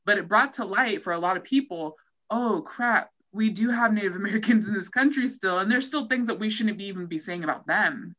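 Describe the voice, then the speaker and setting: A woman hosting a podcast in casual interview chat, animated, acting out her own past thoughts as she talks. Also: mid-range voice, fairly quick. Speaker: podcast host. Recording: dull lo-fi audio.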